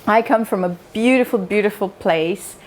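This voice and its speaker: A woman's voice: medium pitch, normal speed, darkish tone, low-quality recording. Romanian-accented woman telling her personal story. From Transylvania, Romania. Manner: conversational, joking asides, questions to listeners. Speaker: speaker at a wellness talk. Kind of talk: informal public talk, personal storytelling.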